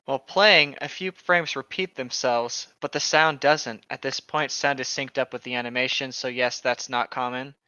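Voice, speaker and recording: A man's voice: medium pitch, steady tone, moderate pace, hardly any pauses, bright, forceful tone, clean tone, no room sound. A man co-hosting, reading a story aloud with playful, sarcastic asides, on a lo-fi mic. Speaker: co-host. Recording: dull lo-fi dry mic.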